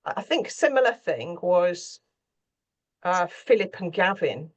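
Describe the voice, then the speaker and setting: A woman's voice: fairly low pitch, very animated, unhurried. A woman speaking off the cuff as a scriptwriter in a panel conversation, often searching for her words.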